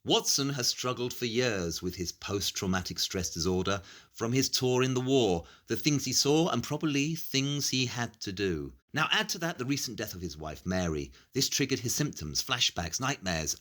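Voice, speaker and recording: A brilliant, sombre Victorian detective in an audio drama. The voice sits fairly low and moves through wide swings of pitch. Each point is laid out with measured, articulate care. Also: male voice, a bit bright. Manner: measured, deliberate, articulate exposition. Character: brilliant male Victorian detective, grave and analytical. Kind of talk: audio play acting, explanatory monologue.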